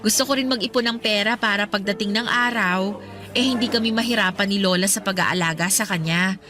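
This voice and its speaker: A woman's voice: medium pitch, brisk, over music, lightly acted. An actress playing a young working woman who hesitantly and apologetically explains why she is not ready for romance. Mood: earnest. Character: young working woman caring for her family. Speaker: radio drama actress. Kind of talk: radio drama acting.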